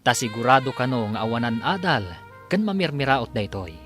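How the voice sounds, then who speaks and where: Casting: man announcing a radio serial, Ilocano accent, highly animated scripted narration over music. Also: a little fast.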